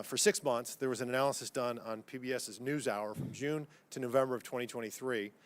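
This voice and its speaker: A man speaking as a congressman at a hearing, questioning witnesses in a pointed, combative and folksy way. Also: mid-range voice. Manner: folksy, combative, uses y'all.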